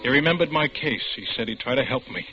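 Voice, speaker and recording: A man's voice: medium pitch, fast talker, slight background noise, close to an everyday voice. An actor playing a bitter young ex-convict telling his own story as narrator. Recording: lo-fi old radio drama.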